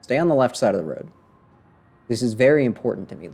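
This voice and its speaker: A man's voice: medium pitch, sing-song, fast talker, darkish tone, husky. A man giving casual live commentary as a game streamer, in a wry, offhand, joking way. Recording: game music under the voice. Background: game music.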